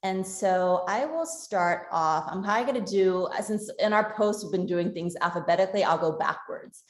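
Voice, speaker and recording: A woman moderating a virtual panel discussion, friendly and halting with frequent fillers. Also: medium pitch, reverberant. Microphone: video call.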